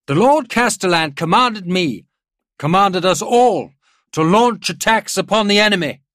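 Guy doing a proud older army general, big loud speechy delivery, voice pushed up high, dry audiobook sound. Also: lively intonation, unhurried, dry recording. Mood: declamatory, rousing. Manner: declamatory, projected parade-ground oratory. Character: proud older male army general rallying his officers. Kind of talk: dramatised audiobook character voice.

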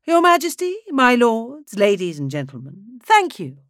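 A woman voicing a male conductor with a high, mellifluous voice, making formal announcements on stage in an audiobook. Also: huge pitch swings, a little slow, fairly bright tone, no echo, studio quality, full character voice. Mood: flustered. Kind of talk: audiobook character voice.

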